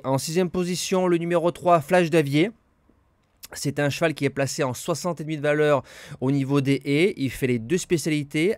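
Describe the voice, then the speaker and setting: A man giving horse racing tips, slightly hoarse from a cold, listing his picks in a brisk, matter-of-fact way. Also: on the high side, dry recording. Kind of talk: betting tips monologue.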